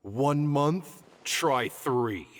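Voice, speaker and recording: Scathing older game academic: animated, sarcastic, long hall reverb. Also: male voice, mid-range voice, drawn-out words, a little hiss or hum. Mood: mocking. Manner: sarcastic, scolding. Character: stern, scathing older male academic master. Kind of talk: video game dialogue.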